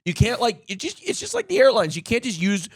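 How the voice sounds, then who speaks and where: Male co-host, emphatic and profane, slightly high pitch. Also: wildly swooping pitch, a little fast, slightly rough, dry recording, studio quality. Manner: emphatic, swears, explains insistently. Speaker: podcast co-host. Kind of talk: casual chat.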